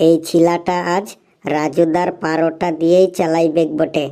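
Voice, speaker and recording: A man's voice: on the high side, flat delivery, normal speed, natural voice. A man voicing an exasperated, boastful schoolteacher in a comic cartoon, grumbling self-importantly on a noisy track. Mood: grumbling, scheming. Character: exasperated male schoolteacher, boastful, scheming to outwit pupil.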